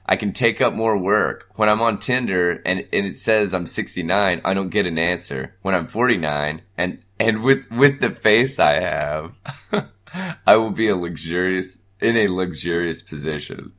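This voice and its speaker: A young man co-hosting a podcast in casual chat, laughing often and halting with fillers. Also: low-pitched, animated, a bit bright. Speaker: podcast co-host.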